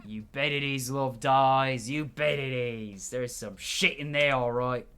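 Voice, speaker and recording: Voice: medium pitch, a little slow, a bit bright, clean tone. A game player voicing a sly, ominous keeper of secrets with an emphatic, dramatic drawl.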